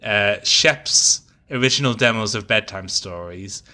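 A man's voice: on the low side, measured pace, pushed and bright. A man co-hosting a casual music discussion, talking in an effusive, analytical and wordy way. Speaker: podcast co-host.

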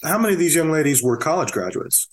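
A man's voice: on the high side, very animated, fast. An American man conducting a conversational oral history interview, asking careful questions as he goes.

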